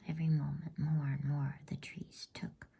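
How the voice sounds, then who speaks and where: A woman reading a novel aloud as a bedtime story in a hushed, unvoiced ASMR whisper. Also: sits low, flat delivery, normal speed, gravelly, slightly rough.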